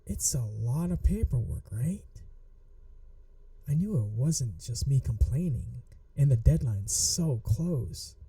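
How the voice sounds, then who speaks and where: A woman acts out a flirty, adorkable female manager in an ASMR roleplay. Her voice is very soft and dark, teasing and fond, with unhurried phrasing that rises and dips expressively. The sound is dry and intimate. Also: extremely low pitch, animated, dry recording, far from the mic, heavily acted, rough recording. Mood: coaxing, flirty. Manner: soft, coaxing, playfully teasing, slipping into whispers. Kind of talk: ASMR roleplay, acted monologue to listener.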